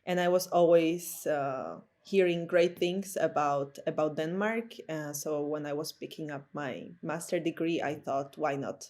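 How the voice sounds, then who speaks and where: A woman's voice: sits low, a little slow, hardly any pauses, a little mellow. A woman, a podcast guest speaking English hesitantly with frequent fillers. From Slovakia. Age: 20s. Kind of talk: casual interview talk.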